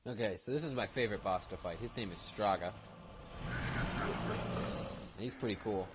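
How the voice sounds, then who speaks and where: Low-voiced young man doing casual game commentary. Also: a little slow, background noise. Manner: slangy, offhand, joking. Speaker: gaming video host. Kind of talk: casual let's play commentary. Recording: loud game music and effects behind voice. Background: video game music and sounds.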